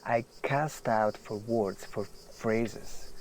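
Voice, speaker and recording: An actor playing a famous male writer, agitated, self-deprecating and obsessed with his work, halting and repeating himself in a naturalistic audio drama. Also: on the low side, a little mellow, slight background noise, poor audio. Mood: intense, brooding.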